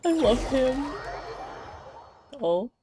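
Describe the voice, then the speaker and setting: High-pitched, animated woman streamer. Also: very slow. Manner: gushing, exclamatory. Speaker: game streamer. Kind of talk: casual playthrough reaction commentary.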